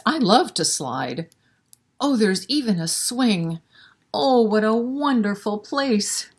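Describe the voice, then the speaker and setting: Slow, expressive woman reading to children. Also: mid-range voice. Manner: expressive, encouraging, asks the listeners questions. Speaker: librarian leading story time for children. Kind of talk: animated picture-book read-aloud with asides to children.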